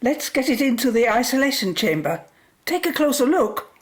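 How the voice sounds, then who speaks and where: An upbeat scientist at work in a radio drama. Her voice is high and swings with excitement, set in a roomy echo. She sounds keen and curious about the job in hand. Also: normal speed. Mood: amazed, curious. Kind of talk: radio play acting.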